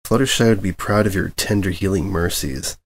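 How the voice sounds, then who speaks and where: A man's voice: low-pitched, fairly quick, slight background noise, voice pushed down. Man voicing a stern, bookish female unicorn. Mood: dry. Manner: exasperated, dry, wordy.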